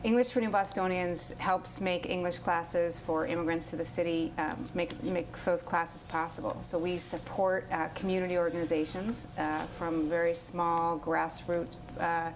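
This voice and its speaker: A woman, an executive director, explaining her organization's work as an interview guest in a steady, informative way with frequent fillers. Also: fairly low pitch, normal speed, few pauses, background noise.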